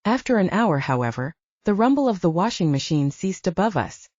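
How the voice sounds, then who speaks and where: A woman's voice: on the low side, big pitch swings, fairly quick, darkish tone, no room sound, muffled recording. A woman reading scripted first-person fiction as an audiobook narrator.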